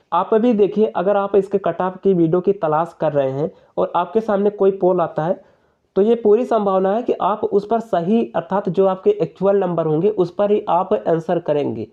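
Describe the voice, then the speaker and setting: Young male explainer, high-pitched, persuasive and repetitive, on a dull narrow recording. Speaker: exam-prep YouTuber. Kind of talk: informal explainer addressing viewers.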